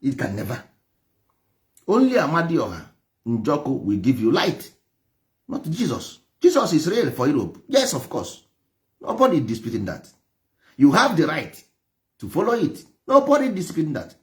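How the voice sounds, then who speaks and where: A man's voice: a little high, huge pitch swings, moderate pace, pauses often. A man with an Igbo Nigerian accent and Igbo as his first language, preaching and lecturing with passion, stressing points through repetition and rhetorical questions. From Nigeria, Igboland. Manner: emphatic, repeats phrases, rhetorical questions. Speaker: traditional religion teacher.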